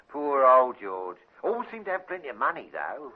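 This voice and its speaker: A man's voice: medium pitch, big pitch swings, moderate pace, narrow, tinny audio, natural voice. An actor playing a blunt, suspicious working-class man with an English accent and slangy speech in a radio drama. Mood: gossipy. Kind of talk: British radio drama acting. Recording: thin, band-limited old radio drama.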